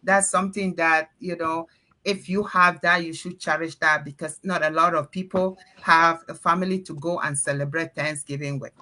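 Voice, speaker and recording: Bright, upbeat woman hosting a live show. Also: sits low, normal speed. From Cameroon. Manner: upbeat, chatty, energetic. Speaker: host of a live panel show. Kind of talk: casual live-stream chat.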